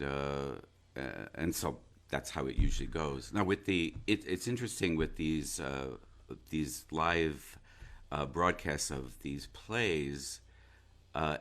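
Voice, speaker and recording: Male actor in casual conversation, very low voice, slow and halting, with false starts and reflective delivery. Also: a bit bright. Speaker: stage and radio actor.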